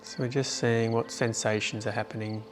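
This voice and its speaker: A man leading a guided meditation in an informal, unhurried way with long pauses. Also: mid-range voice, little pitch movement, faint music behind.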